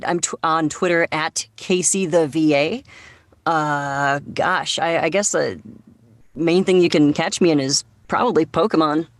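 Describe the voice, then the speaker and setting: A woman's voice: sits low, no room sound. A woman chatting casually as a voice actor guest on a panel. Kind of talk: casual chat.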